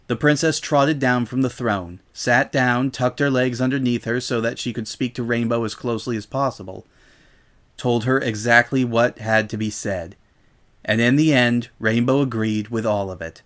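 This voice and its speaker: A man's voice: medium pitch, steady tone, moderate pace, fairly bright tone. A man reading scripted story narration as the narrator of a dramatic reading.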